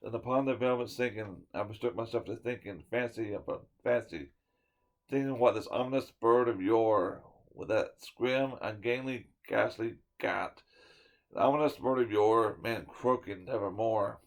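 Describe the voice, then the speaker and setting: A man giving a dramatic reading of a poem, swinging from loud declaiming to hushed whispers and sometimes tripping over a word. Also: on the low side, normal speed. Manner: stumbles and restarts words now and then. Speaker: storyteller reading a poem aloud.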